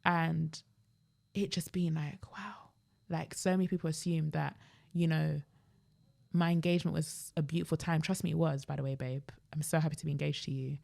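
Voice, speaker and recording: A woman's voice: sits low, fairly even intonation, normal speed, gravelly, a little mellow. A young British woman with a London accent chatting casually and emphatically as a podcast host. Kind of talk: casual chat.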